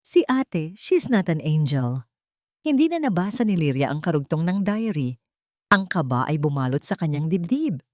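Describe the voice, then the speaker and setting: Woman doing dramatic audiobook narration, kinda low voice, really mellow, super dry recording. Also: dry recording. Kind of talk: scripted dramatic story narration.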